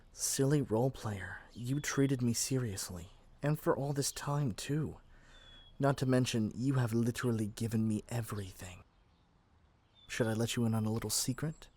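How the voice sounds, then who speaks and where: A man's voice: mid-range voice, darkish tone, natural voice. A man playing a lovestruck, possessive young male witch in an ASMR roleplay, chatty and gushing with flustered hesitations. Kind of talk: ASMR roleplay addressed to the listener.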